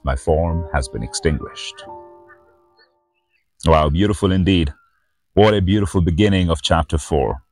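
A man with a deep voice speaks as a spiritual teacher presenting sacred verse. His delivery is solemn and measured, shaped like a devotional recitation. Soft instrumental music plays faintly underneath. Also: no room sound. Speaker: spiritual teacher and reader, host of the series. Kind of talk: slow devotional reading of sacred verse with short host remarks.

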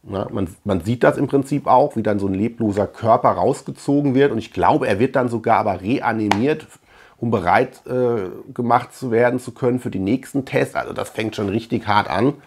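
A middle-aged man giving a casual film review to camera as a host, halting as he searches for words. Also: mid-range voice, darkish tone, slightly rough. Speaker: host of a film collection channel. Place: small room lined with shelves of collectibles.

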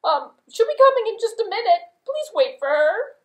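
A woman's voice: very high voice, sing-song, moderate pace, reverberant, pitched above the performer's own voice. A woman voicing a small, scrawny young male goat in a puppet show, pleading and stammering. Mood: eager, grateful.

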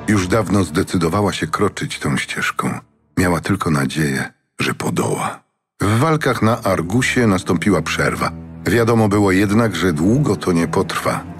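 Deep-voiced solemn male storyteller. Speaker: narrator. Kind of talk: scripted dramatic fantasy audiobook narration.

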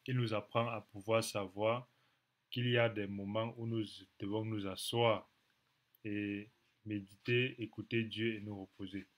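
A man in his thirties, sick with a cold and clearing his throat, teaching from the Bible to a webcam in a small room at home, repeating phrases slowly for emphasis. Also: on the low side, a bit bright. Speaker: preacher.

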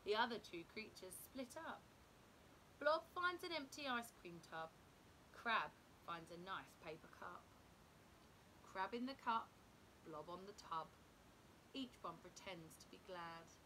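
A middle-aged woman reading a children's picture book aloud in an expressive, dramatic, deliberate manner. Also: low-quality recording. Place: indoor room at home. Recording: clear low background rumble noise.

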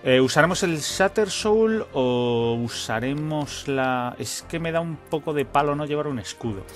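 A man's voice: fairly high pitch, lively intonation, measured pace. Casual man commentating over game music. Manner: informal, swearing, thinking aloud. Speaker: gaming channel host. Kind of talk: casual let's-play commentary.

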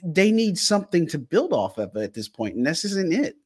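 A man's voice: on the high side, sing-song, normal speed, husky, no room sound. Frustrated man ranting about his team. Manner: frustrated, rambling, heavy on fillers like "I mean" and "look". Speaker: podcast co-host. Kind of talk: sports analysis chat.

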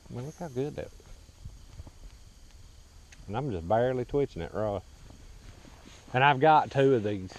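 A man's voice: mid-range voice, lively intonation, measured pace, pauses often, rough recording. A man with a Southern US accent chatting casually and in a folksy way out on a boat. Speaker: angler vlogging. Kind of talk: casual chat. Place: outdoors on a small boat on a lake. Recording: clear outdoor ambient noise.

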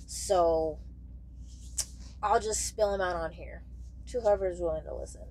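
Young woman, slightly low voice, unhurried halting delivery, candid casual vlog, small truck cab, noticeable background noise. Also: warm tone, low-quality recording. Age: young adult. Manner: candid, halting, searching for words, casual swearing. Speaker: truck driver vlogger. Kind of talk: casual vlog talk to camera. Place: small padded truck sleeper bunk, indoors.

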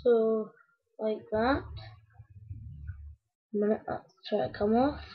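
A woman with a croaky voice, rambling casually to her viewers as she builds a toy step by step. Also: fairly high pitch, slow pace. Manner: rambling, talks to viewers while working with hands. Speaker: video maker building a toy. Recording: dull lo-fi home recording.

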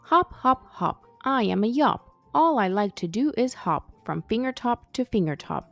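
A woman's voice: a little high, very animated, measured pace, vocal fry, no echo, soft background music, full character voice. A woman voicing a tiny, eager hopping creature from a storybook in a bouncy, rhythmic singsong.

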